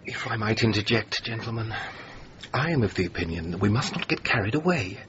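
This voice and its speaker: A man's voice: on the low side, lively intonation, brisk, fairly bright tone. An actor playing a prim, thrifty male gallery official, speaking formally and pedantically in a radio drama. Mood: formal, disapproving. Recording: slightly muffled radio recording, background noise.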